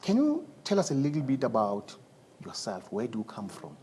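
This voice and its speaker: A man hosting a studio interview, speaking in slow, emphatic phrases and mixing in Zulu. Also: mid-range voice, wildly swooping pitch, darkish tone, slight background noise. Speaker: talk show host.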